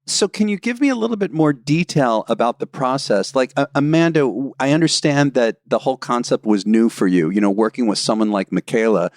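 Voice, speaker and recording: A man's voice: mid-range voice, a little fast, few pauses, darkish tone, no echo, clean studio sound. A man hosting a podcast, asking questions in a conversational way. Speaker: podcast host, interviewer. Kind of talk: conversational interviewing.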